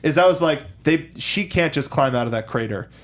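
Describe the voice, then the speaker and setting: Higher-voiced man in rambling film chat. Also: lively intonation. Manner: rambling, halting, self-correcting. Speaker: film discussion show host. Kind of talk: casual movie review chat.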